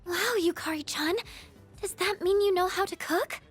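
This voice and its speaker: A woman voicing a shy, gentle teenage girl in a game, speaking politely. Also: very high-pitched, normal speed, soft background music. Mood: polite, curious. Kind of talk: game voice acting.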